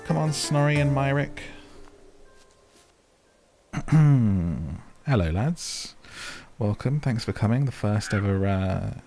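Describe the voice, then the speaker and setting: A man's voice: mid-range voice, animated, slow pace, vocal fry, pauses often, a little mellow. A British man giving casual, chatty gameplay commentary, playfully talking to the characters as he goes. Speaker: gaming video host. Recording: music under the voice.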